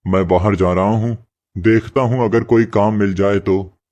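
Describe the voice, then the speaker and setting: A poor, humble working husband from a cartoon moral story, acted by a man. His voice sits fairly low. He speaks gently and apologetically, always putting himself last. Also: steady tone, a little slow, dull audio, very noisy, lightly acted. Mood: patient, resigned. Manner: gentle, pleading and apologetic toward his boss. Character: poor meek labourer husband, patient and self-sacrificing. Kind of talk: animated moral story acting.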